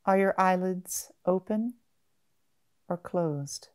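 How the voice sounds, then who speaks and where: A woman guiding a meditation in a gentle, measured manner, with long pauses between short phrases. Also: fairly low pitch. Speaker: mindfulness meditation guide. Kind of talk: guided body-scan meditation.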